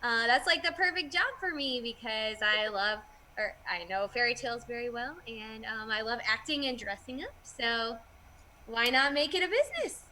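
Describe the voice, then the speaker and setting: A woman's voice: a little high, expressive, moderate pace, sharp tone, a little hiss or hum. A young woman, a guest who runs a party entertainment business, chatting casually and laughing often on a video call.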